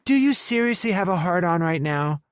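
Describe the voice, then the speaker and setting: Man voicing a higher-pitched feisty young woman. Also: animated, normal speed, smooth, no echo, clean studio sound. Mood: exasperated. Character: young woman, flustered, sleepy then frantic, feisty girlfriend. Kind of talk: romance audiobook dialogue.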